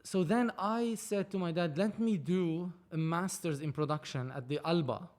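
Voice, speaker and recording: A man, a podcast guest telling his story in a casual, animated, rambling way with many restarts. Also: a little high, normal speed. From Lebanon. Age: 30s. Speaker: film producer, podcast guest.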